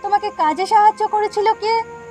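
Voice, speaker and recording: A woman's voice: extremely high pitch, normal speed, darkish tone, soft background music. A woman voicing a nosy, suspicious village neighbour in a cartoon, prying and insistent. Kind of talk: cartoon dialogue acting.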